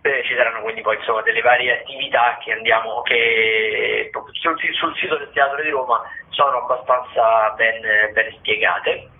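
A man's voice: mid-range voice, fairly even intonation, normal speed. A man giving a radio roundup of theatre listings, hesitant, with many fillers and restarts. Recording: tinny phone line.

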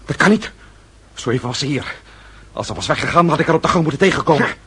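A man playing a bold, impetuous swashbuckling gentleman in a radio play, theatrical in his delivery. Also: a little high, expressive, fast, husky, heavily acted. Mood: furious. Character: bold, hot-tempered swashbuckling gentleman hero. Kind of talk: Dutch radio play acting. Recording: faintly hissy old radio recording.

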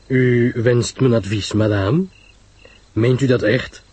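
A man's voice: mid-range voice, fairly even intonation, slow pace, a little mellow, husky. A man voicing a grave, curt detective in a mystery story, speaking sharply with French interjections. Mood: stern warning. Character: grave, curt male detective giving stern advice.

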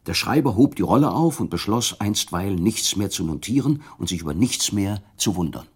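A man's voice: lowish voice, expressive, fairly quick, slightly rough. A man narrating a literary radio drama from a script, telling the story in formal prose.

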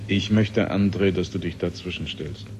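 A man acting as a calculating research chief in a science fiction radio drama. His low voice is commanding yet coaxing, with music clearly underneath. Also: fairly even intonation, moderate pace, a little mellow, lightly acted. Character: calculating, authoritative male research doctor, cool and ambitious.

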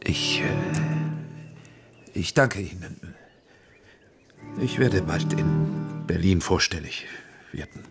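An actor in a radio drama playing an anxious, polite film director who speaks haltingly and defensively. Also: male voice, deep voice, expressive, loud music behind the voice, exaggerated voice. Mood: evasive, uneasy.

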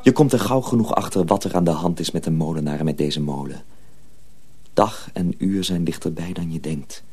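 Low, husky, secretive mill journeyman. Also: normal speed, dark tone. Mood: hushed, secretive. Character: older, calm, secretive male miller's journeyman. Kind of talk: Dutch radio drama acting.

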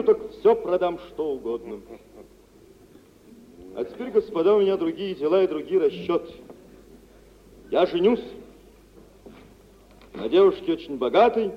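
Swaggering gentleman, wildly swooping high voice. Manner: theatrical, expansive, swaggering storytelling. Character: boisterous, self-assured gentleman shipowner, fond of carousing, unsentimental. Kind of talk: mid-century Soviet stage acting for radio. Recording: muffled, noisy 1950s radio recording, reverberant hall.

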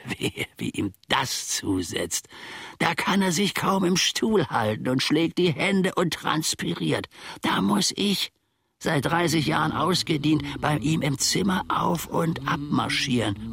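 An actor playing a self-important, grumbling old manservant and former soldier in a German radio play, musing theatrically in a husky, gravelly voice. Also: male voice, fairly high pitch, expressive, unhurried, a bit bright, heavily acted. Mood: aggrieved. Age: older.

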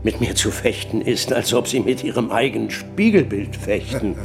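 An actor playing an old Daoist master in a radio drama, calm, cryptic and sardonic, with a gravelly voice. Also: male voice, fairly low pitch, moderate pace, over music. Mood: serene.

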